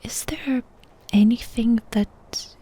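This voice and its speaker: A woman doing a soft-spoken ASMR roleplay, asking questions in an intimate, unhurried whisper. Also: a little high, fairly even intonation, husky.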